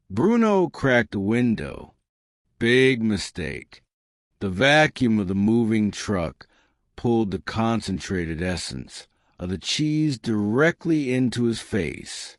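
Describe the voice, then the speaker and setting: A man narrating a scripted comic story with dramatic, deadpan delivery and deliberate pauses. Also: fairly low pitch, no room sound, studio quality.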